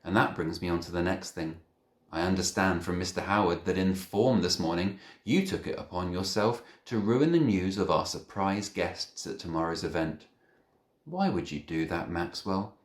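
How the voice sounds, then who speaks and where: Stern head teacher, a woman acted by a man, storybook scolding, deliberate and commanding, roomy echo. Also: low voice, lightly acted. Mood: reproachful. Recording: echoey room.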